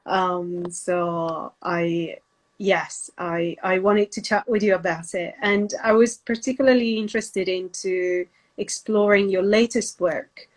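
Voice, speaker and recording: A woman's voice: lowish voice, fairly even intonation, takes it slowly. A woman interviewing a guest in a casual live talk, with a polite, tentative way of phrasing things. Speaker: interviewer, host.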